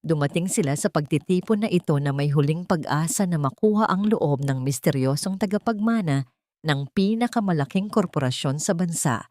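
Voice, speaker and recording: A woman's voice: sits low, expressive, normal speed, mellow, no echo, clean studio sound. A woman with a Filipino accent reading a scripted, dramatic audio story as the storyteller.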